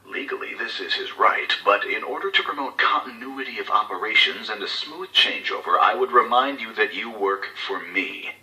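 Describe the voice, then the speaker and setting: A man's voice: lowish voice, pushed and bright, echoey room, recorded from a distance, heavily acted, low-quality recording. An actor playing a cold, scheming male corporate executive who gives covert orders in formal, clipped, authoritative speech for a game audio log. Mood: stern, controlling.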